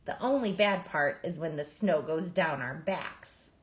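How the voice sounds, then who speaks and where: Woman, children's book read-aloud, expressive storytelling, lively intonation, echoing room recording. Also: low voice, a little slow. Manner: animated, emphatic storytelling. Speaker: storybook reader.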